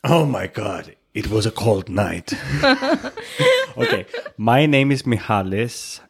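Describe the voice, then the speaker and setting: Lively young man. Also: fairly low pitch, slow pace, vocal fry, slight background noise. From Greece, Crete. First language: Greek. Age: 20s. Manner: animated, self-mocking, jokey. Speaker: co-host. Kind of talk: casual chat.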